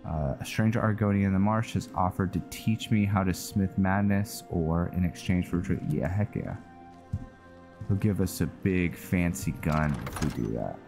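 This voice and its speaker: A man giving casual, low-key commentary as he plays a video game, muttering his thoughts aloud. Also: moderate pace, creaky. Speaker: gamer, channel host. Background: game music.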